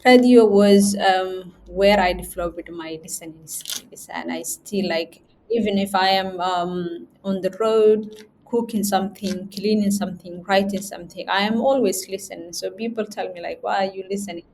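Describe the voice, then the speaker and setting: A woman's voice: mid-range voice, fairly even intonation, normal speed, a little mellow, slight background noise, poor audio. Hesitant woman. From Somali region. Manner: hesitant, many fillers and restarts. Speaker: guest, chief editor. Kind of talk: interview conversation.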